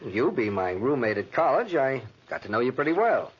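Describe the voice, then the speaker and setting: Cocky, bantering bachelor writer in vintage radio drama: husky, very animated pitch, muffled old recording. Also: male voice, moderate pace. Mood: breezy, confident. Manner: breezy, bantering. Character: cocky, teasing bachelor writer, self-assured wilderness companion. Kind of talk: 1940s radio drama acting. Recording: dull lo-fi 1940s radio recording.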